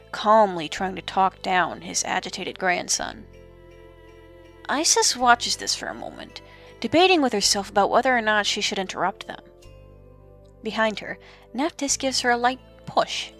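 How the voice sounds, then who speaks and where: Female narrator for mythological audio drama. Lively, swooping pitch, deliberate dramatic pauses, faint music underneath. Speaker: storyteller, narrator.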